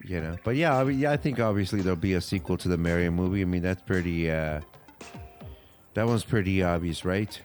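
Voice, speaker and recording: A man co-hosting a podcast, chatting casually and informally as he thinks out loud. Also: sits low, a little fast, soft background music. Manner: loose, informal, thinking aloud. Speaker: podcast co-host. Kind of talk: casual pop culture chat.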